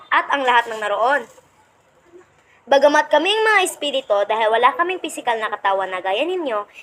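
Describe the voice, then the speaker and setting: A woman's voice: high voice, a bit bright, slight background noise, rough recording. A woman playing a polite angel who explains things patiently in a radio play interview. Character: respectful angel explaining heaven's ranks to a reporter.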